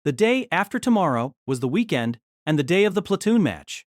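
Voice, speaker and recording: A man narrating an audio drama in scripted storytelling style, expressive and engaged. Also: brisk, no echo, studio quality. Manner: expressive storytelling with lively pitch.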